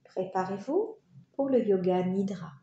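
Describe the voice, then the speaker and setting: Slow, mellow woman guiding relaxation. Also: mid-range voice, highs cut off. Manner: soothing, unhurried instruction with short phrases and pauses. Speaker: yoga teacher leading a guided relaxation. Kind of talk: guided meditation narration. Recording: roomy echo, faint background noise.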